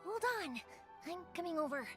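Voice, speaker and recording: An actress playing a bubbly, caring young fireworks maker, with lively, expressive delivery in an anime game. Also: female voice, very high voice, normal speed, over music. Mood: brisk, secretive.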